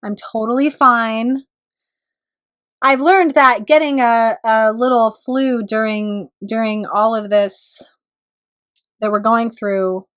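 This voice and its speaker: A woman astrologer giving a reflective solo talk, her voice still recovering from a cold, with pauses and throat clearing. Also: on the high side.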